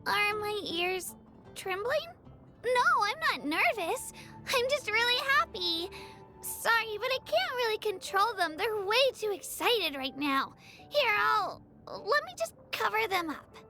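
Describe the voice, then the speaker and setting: A woman's voice: very high-pitched, sing-song, unhurried, faint music behind, exaggerated voice. A woman voicing a timid, earnest young girl in a video game, with a childlike, hesitant delivery. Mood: happy, flustered. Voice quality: childlike, soft-edged. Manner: hesitant, earnest, stammering on first words. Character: timid, earnest young girl, tearful yet determined gem collector. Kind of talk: scripted video game character lines.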